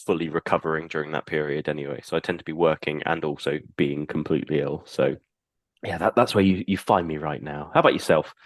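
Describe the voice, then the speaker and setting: A man's voice: extremely low pitch, fast talker, no room sound, clean studio sound. A man co-hosting a casual podcast, congested with a cold, easygoing and apologetic. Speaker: podcast co-host.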